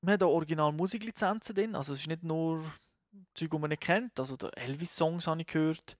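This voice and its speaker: A man's voice: fairly high pitch, fast talker, no room sound, studio quality. A man, co-hosting a casual video game review chat and talking enthusiastically in loose, rambling phrases.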